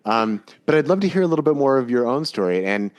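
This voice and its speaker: A man's voice: mid-range voice, very animated, fast, clean tone. A man hosting a podcast interview, talking in a casual, blunt, conversational way. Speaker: podcast host.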